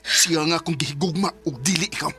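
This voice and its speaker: A man's voice: on the high side, fairly even intonation, fast, sharp tone, soft background music. An actor with a Filipino Bisaya accent playing a cold, dismissive young man in a curt radio drama style. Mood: scornful. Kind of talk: emotional radio drama acting.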